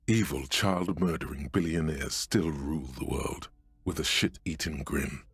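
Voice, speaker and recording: An actor playing a grave, sardonic inner voice that narrates a man's bitter thoughts in a video game, solemn and deliberate. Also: extremely low pitch, husky, no room sound, full character voice.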